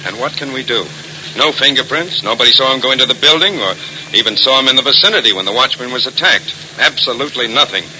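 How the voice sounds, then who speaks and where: An actor playing a sensible, methodical American police detective in an old radio drama, speaking in a crisp, reasoned way. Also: male voice, mid-range voice, quick pace, background noise, lightly acted. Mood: dry, resigned. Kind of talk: 1940s radio drama acting.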